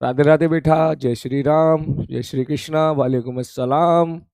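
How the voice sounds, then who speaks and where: A male physics teacher with a hoarse, worn throat talks to his students in a live-streamed class. His voice sits a little high for a man, and he chats freely, repeats his greetings and calls them "beta". The sound is close and dry. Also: steady tone, unhurried, a little mellow, no echo.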